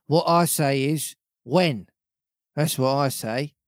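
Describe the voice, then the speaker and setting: A man voicing a grumbling, defiant pirate coxswain from a storybook, growling in rough sailor dialect. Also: mid-range voice, slow pace, no echo, clean studio sound. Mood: sullen.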